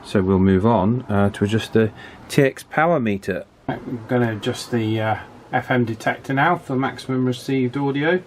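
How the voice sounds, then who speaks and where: A man giving a casual, chatty hands-on repair commentary in an unhurried way, as if thinking aloud. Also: mid-range voice, slight background noise. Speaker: radio repair hobbyist presenting his work.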